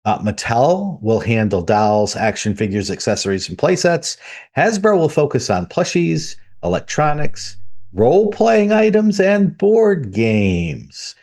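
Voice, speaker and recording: A man's voice: medium pitch, sing-song, unhurried, a little mellow. A man co-hosting a tabletop gaming podcast, explaining game-running tips and reading out news items. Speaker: podcast co-host. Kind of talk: casual podcast discussion.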